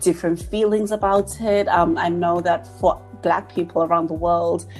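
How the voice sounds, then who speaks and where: A woman's voice: fairly low pitch, flat delivery, moderate pace, a little mellow, soft background music. A young woman from Zimbabwe co-hosting a podcast, talking reflectively and thinking aloud in casual chat. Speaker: podcast co-host.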